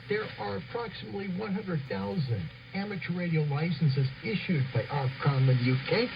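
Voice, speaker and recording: A man's voice: a little high, gravelly. A man presenting ham radio news over shortwave, reading from a script with chatty asides in an enthusiastic, emphatic manner. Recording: noisy, muffled shortwave radio broadcast.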